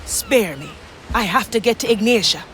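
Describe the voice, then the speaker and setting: A woman's voice: a little high, animated, moderate pace, noisy background, lightly acted. A woman voicing a determined, wry pirate navigator in a video game, speaking directly. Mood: impatient, firm.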